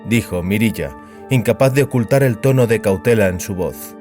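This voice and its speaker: A man's voice: fairly low pitch, normal speed, darkish tone, faint music behind. A man reading a science fiction story as scripted dramatic narration.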